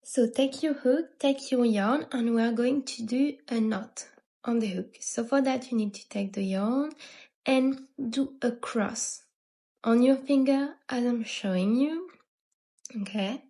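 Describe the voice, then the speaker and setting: Slow, hesitant French-accented woman. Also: on the high side, mellow, no room sound. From France. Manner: hesitant, many fillers and restarts, laughs at herself. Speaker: crochet tutorial host. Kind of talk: casual tutorial talk.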